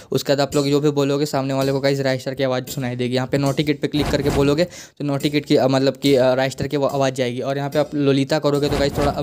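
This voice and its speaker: A man's voice: mid-range voice, background noise. A man with an Indian accent hosting a casual gaming tutorial, repetitive and addressing viewers directly. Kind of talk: casual screen-recorded tutorial.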